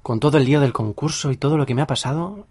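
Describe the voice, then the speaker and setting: A man's voice: medium pitch, quick pace, close to an everyday voice. An actor playing a weary, petty jilted man in a radio comedy monologue, sighing and rambling. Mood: fed up.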